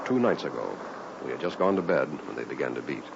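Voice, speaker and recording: An actor playing a wealthy, anxious French count with a grave, dramatic delivery in an old-time radio play. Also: male voice, very deep voice, expressive, fast, creaky, heavily acted. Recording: muffled, very noisy vintage radio recording.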